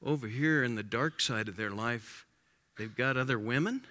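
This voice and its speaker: A man preaching a sermon in an emphatic way, his voice rising to loud exclamations. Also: mid-range voice, moderate pace, big-room sound.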